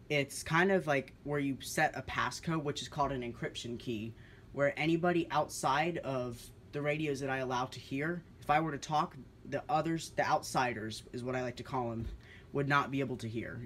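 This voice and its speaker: Man, mid-range voice, informal explainer, off-the-cuff video narration, indoors, some steady background noise. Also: mid-range voice. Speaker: hobbyist video creator demonstrating two-way radios. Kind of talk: casual demonstration narration. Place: indoors, kitchen-like room with countertop.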